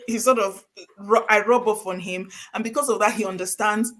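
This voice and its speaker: A woman's voice: mid-range voice, fairly even intonation, brisk, a bit bright. A middle-aged woman from Nigeria, hosting a live-streamed conversation and telling stories in an earnest, emphatic way. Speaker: host of a live session.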